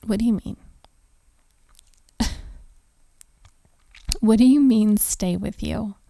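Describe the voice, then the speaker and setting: A woman playing a flustered, self-deprecating young hotel maid in an intimate audio roleplay, speaking haltingly with soft, giggly breathiness. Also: mid-range voice, vocal fry, no echo. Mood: surprised, teasing. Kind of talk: intimate audio roleplay, one-sided conversation.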